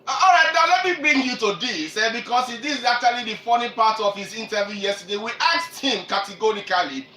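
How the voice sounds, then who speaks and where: A high-voiced Nigerian man presents a sports radio show in an animated, emphatic manner. His tone is bright and forceful, and the sound is roomy and set back from the mic. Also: a little fast, hardly any pauses, faint music behind. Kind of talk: live sports discussion introducing interview clips. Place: echoey room, radio show. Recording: roomy, distant mic, faint noise.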